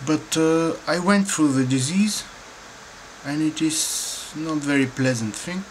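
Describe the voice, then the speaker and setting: Slow-spoken Bulgarian man, halting and thoughtful. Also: fairly high pitch, low-quality recording. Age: middle-aged. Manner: slow, halting, thoughtful, many pauses and fillers. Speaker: painter and filmmaker. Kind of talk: answering interview questions to camera. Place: dim room indoors at home. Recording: clear room noise behind.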